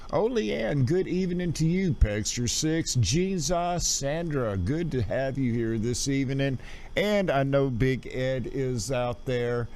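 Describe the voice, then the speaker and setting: A man's voice: medium pitch, a little mellow. A man hosting a radio show livestream, chatting casually and greeting listeners in a folksy way. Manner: folksy, addresses listeners as gang.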